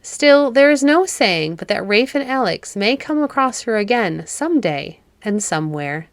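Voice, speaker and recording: A woman's voice: fairly high pitch, big pitch swings, measured pace, no room sound. A woman narrating a classic children's story as a read-aloud, in a dry, close recording. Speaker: storybook narrator and podcast host.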